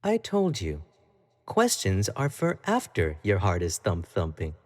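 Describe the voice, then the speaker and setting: A man's voice: low-pitched, wildly swooping pitch, measured pace, darkish tone, heavily acted. An actor playing an obsessive, clingy young male pop idol in an audio roleplay, talking to the listener in a coy, playful, doting way. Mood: teasing. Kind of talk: scripted roleplay monologue to the listener.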